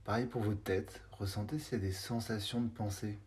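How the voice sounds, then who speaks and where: A man guiding a body scan meditation in unhurried, spaced-out short phrases with some hesitation. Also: lowish voice, fairly even intonation, a little mellow. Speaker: meditation guide.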